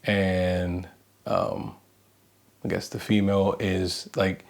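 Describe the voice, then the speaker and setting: A man in his thirties co-hosts a relationship podcast in loose, casual talk. His voice is very deep with a gravelly fry, and he often stops and restarts mid-sentence while he searches for words. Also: level tone, slow pace. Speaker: podcast co-host, relationship coach. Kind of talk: casual conversational podcast chat.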